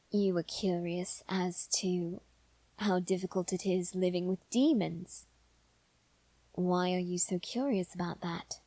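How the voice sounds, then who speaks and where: A woman voicing an anxious aristocratic boy in a scripted audio roleplay, speaking formally and haltingly with dramatic pauses. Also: medium pitch, darkish tone, no room sound, lightly acted. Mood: hesitant, curious. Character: anxious aristocratic boy lord bound to demons. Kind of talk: scripted ASMR roleplay addressed to the listener.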